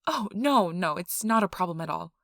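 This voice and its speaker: Woman playing a low, creaky-voiced ASMR intake interviewer. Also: expressive, normal speed, a bit bright, no echo, studio quality. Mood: reassuring, chatty. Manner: polite, explanatory, informal office patter. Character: friendly, chatty female intake interviewer at a vampire blood donation center. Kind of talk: ASMR role-play, soft personal-attention interview.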